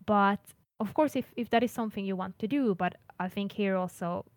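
A woman from Finland speaks at a mid-range pitch as an international services coordinator. She is earnest, restarts words often and laughs lightly now and then. Her voice is recorded dry and close. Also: a little fast, dry recording. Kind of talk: interview answers.

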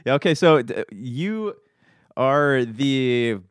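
A man hosting an interview show, relaxed and stumbling a little over his words. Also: mid-range voice, slow, clear, resonant tone, dry recording, studio quality. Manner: laughing, loose, stumbling. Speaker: show host. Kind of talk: casual interview.

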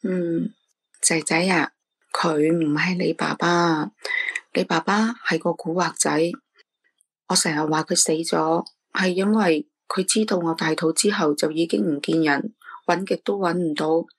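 A woman's voice: on the low side, steady tone, unhurried, breathy edge. A woman with a Hong Kong Cantonese accent voicing an anxious, doting single mother in a radio drama, who talks in a pleading, emotional way. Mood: remorseful.

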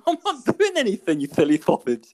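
A man's voice: high voice, wildly swooping pitch, a little fast, darkish tone. A man, a podcast co-host in casual chat, ranting and rambling and breaking into laughter.

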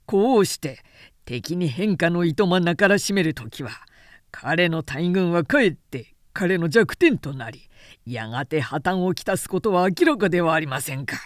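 A woman's voice: fairly low pitch, lively intonation, normal speed, dry recording, studio quality, natural voice. A woman voicing a boisterous, confident male warrior general with emphatic, old-fashioned speech in an audiobook reading. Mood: boastful, persuasive.

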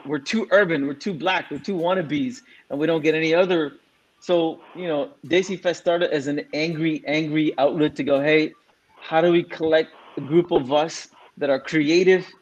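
A man's voice: on the high side, highs cut off. A man in his forties, from Singapore, speaking as a guest in a frank, casual way with many fillers. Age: 40s. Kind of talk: casual interview answer.

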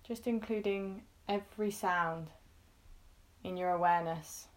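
Female meditation guide. Unhurried, very slow delivery, slightly low pitch, gentle and reassuring. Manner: gentle, unhurried, reassuring, with pauses between short phrases.

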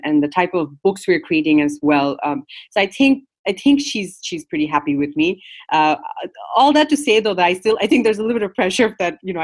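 Hesitant, fairly low-voiced woman from Bangladesh. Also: dry recording. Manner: hesitant, many fillers, laughs softly. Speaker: children's book publisher. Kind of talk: conversational interview.

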